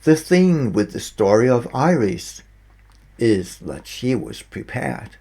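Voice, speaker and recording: A man reads an audiobook slowly in a low, gravelly voice. He phrases deliberately, setting short pieces apart with emphasis, and his pitch moves expressively. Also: sing-song, warm tone. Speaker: author reading own audiobook. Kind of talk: scripted audiobook narration.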